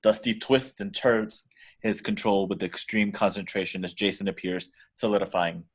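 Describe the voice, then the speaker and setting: A man's voice: deep voice, fairly even intonation, moderate pace, husky. A man reading out the action descriptions as scripted narration in a table read.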